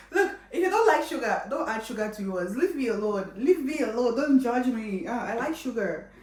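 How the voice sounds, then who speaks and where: A woman's voice: a little high, very animated, normal speed, darkish tone, echoey room, distant mic. A young woman with a Nigerian accent chatting playfully to viewers while cooking in her home kitchen, laughing often. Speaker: cooking vlogger. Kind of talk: casual cooking tutorial chat.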